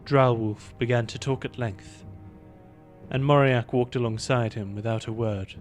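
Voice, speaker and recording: A man's voice: mid-range voice, fairly even intonation, measured pace, faint music behind. A male narrator reads a scripted fantasy tale. His voice sits in a medium register. The delivery is that of an audio drama storyteller.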